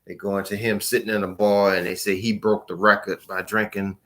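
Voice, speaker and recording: A man talking casually and informally as a book reviewer, in a halting, rambling way that trails off at the ends of phrases. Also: lowish voice, little pitch movement, a bit bright.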